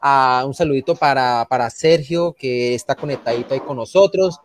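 A man from Santander, Colombia, with a Santander Colombian accent, talking as a panelist on a live online show, animated and full of restarts and repeated words. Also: mid-range voice, normal speed, a little hiss or hum. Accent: Santander Colombian Spanish, from Barrancabermeja. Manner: animated, rambling with many restarts, indignant. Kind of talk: casual live online talk.

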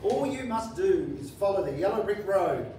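A man's voice: high-pitched, sing-song, normal speed. A man playing a self-important, unhelpful good fairy in an amateur stage pantomime, declaiming grandly. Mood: haughty. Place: care home common room, indoors, before an audience. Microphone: far camera. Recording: echoey hall, distant microphone, clear room noise.

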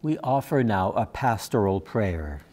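An older man speaking as a minister in a church, measured and solemn, reading a sermon or prayer from a prepared text. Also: fairly low pitch, very animated, a little mellow. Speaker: minister leading worship. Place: church sanctuary, at a lectern.

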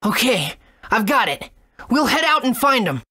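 Excitable boy hero, high, lively swooping pitch, enthusiastic game character. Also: moderate pace, husky, full character voice. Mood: curious. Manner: enthusiastic, wide-eyed. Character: eager, excitable adventurous young boy hero. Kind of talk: video game voice acting.

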